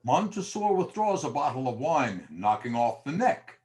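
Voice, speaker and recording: A man's voice: mid-range voice, very animated, moderate pace, darkish tone. A man narrating a period tale as a scripted dramatic storyteller.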